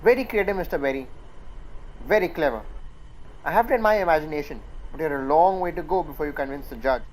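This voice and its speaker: Smug company lawyer young performer acting, medium pitch, measured, mocking, radio drama. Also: lively intonation, a little hiss or hum, close to an everyday voice, low-quality recording. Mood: mocking, confident. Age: young adult. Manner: measured, sardonic. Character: smug, condescending male corporate lawyer.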